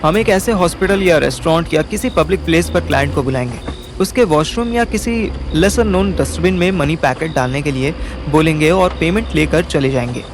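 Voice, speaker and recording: An actor plays a young Indian man recounting his schemes in an audio drama. His voice sits a little high, with a wry, colloquial delivery that turns placating in dialogue. Music runs clearly underneath. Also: brisk, natural voice. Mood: explaining, placating. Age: young adult. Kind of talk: first-person audio drama narration and dialogue in Hindi-English mix.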